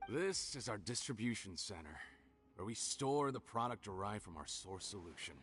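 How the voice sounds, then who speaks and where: Sneering, wildly animated scheming villain. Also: male voice, on the low side, moderate pace, faint music behind. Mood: gloating, sneering. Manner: theatrical, sneering, gloating. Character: scheming, mocking, self-assured male criminal villain. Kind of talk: scripted video game dialogue.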